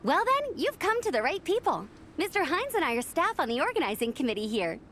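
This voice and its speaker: A chatty young knight. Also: female voice, high-pitched, sing-song, a little fast, a bit bright, a little hiss or hum. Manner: chatty and upbeat. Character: friendly young female knight organizer. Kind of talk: game voice acting.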